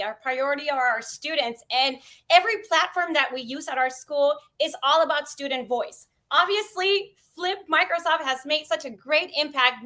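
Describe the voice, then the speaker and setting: A young woman from South Texas, a bilingual teacher, talking in a gushing, energetic way on a video call. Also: high voice. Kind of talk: casual video-call chat. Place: room indoors.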